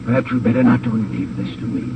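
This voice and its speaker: A man's voice: mid-range voice, fast, lightly acted. An actor playing a nervous, gullible American businessman with a hesitant, flustered delivery in an old radio crime drama. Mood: alarmed. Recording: very noisy, dull, distant radio recording.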